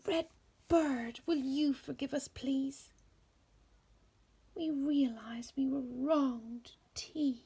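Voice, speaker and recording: A woman voicing a band of animal friends in a children's storybook, emphatic, with a husky voice. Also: high-pitched, fairly even intonation, slow, long pauses, warm tone, pitched above the performer's own voice. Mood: sheepish. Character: band of animal friends, scornful then sheepish.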